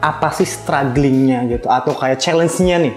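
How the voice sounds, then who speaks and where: A man's voice: mid-range voice, moderate pace. A man hosting a casual interview as he chats with a guest. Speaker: podcast host. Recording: roomy echo, music bed behind.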